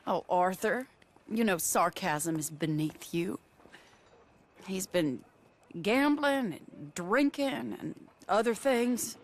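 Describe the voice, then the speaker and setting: An actress playing a genteel, anxious lady of the Old West in a video game, pleading and gently reproving. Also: medium pitch, expressive, slow. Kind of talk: video game cutscene acting.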